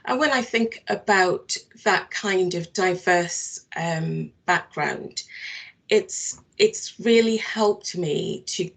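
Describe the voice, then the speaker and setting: A woman in her fifties from Barbados, a social work manager answering interview questions in a thoughtful, measured way with frequent restarts. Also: lowish voice, gravelly.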